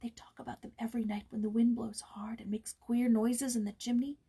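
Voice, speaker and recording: Storybook role: scared, sorrowful young boy, played by a woman, high and trembling, timid delivery. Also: level tone, brisk, gravelly, husky, exaggerated voice. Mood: hushed, secretive. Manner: tremulous, sometimes dropping to a hushed whisper.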